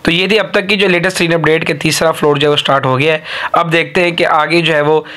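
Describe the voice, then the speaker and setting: A man with a Pakistani accent narrating an informal news update as a channel host, in a halting way with repeated filler phrases. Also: fairly high pitch, fairly even intonation, pushed and bright.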